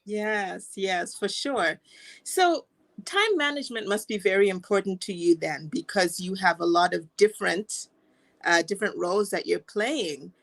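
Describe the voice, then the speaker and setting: A woman's voice: mid-range voice, unhurried. A woman interviewing a guest in a casual conversation, asking long rambling questions. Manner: asks long rambling questions, laughs along.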